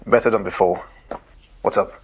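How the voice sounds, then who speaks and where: A man playing a calm young man. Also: on the low side, normal speed, a crowd in the background, lightly acted. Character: young man named King, calm and puzzled. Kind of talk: film drama acting.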